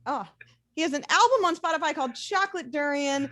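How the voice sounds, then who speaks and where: A woman introduces the next comedian at a comedy showcase, chatty and quick to correct herself. Her voice is high and bright, with a lot of bounce in it. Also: expressive. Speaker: show host.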